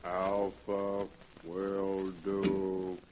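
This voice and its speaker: An actor playing a slow, blunt machine-man robot that speaks in broken, halting phrases, in 1940s radio-drama style. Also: low voice, completely flat delivery, loud music behind the voice. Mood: mechanical.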